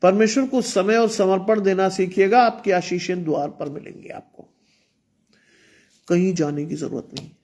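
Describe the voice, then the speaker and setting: A man's voice: high voice, moderate pace, a little mellow. A man preaching an online sermon in with some English, earnest and exhorting, speaking with a sore throat. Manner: earnest, exhorting, repeats phrases. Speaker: pastor.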